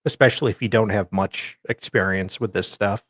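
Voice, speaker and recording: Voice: low voice, fairly even intonation, brisk, a bit bright, dry recording, studio quality. A co-host in casual chat, putting in short, wry and sarcastic asides.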